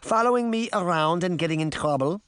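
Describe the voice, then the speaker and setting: A man voicing a kindly old grandmother, brisk and encouraging, in a children's storybook reading. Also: sits high, animated, fairly bright tone, no echo, pitched above the performer's own voice.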